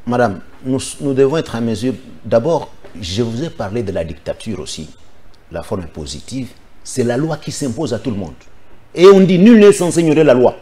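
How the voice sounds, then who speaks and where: A man's voice: mid-range voice, sing-song, moderate pace, dark tone. A man from the Democratic Republic of the Congo, a political guest giving firm, insistent answers in a studio interview.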